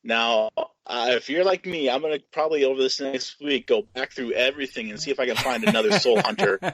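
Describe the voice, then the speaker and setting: A man's voice: medium pitch, expressive, brisk, fairly bright tone, a little hiss or hum. A man chatting casually on a hobby podcast, talking through game details in an informal, conversational way. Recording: dull, lo-fi podcast audio.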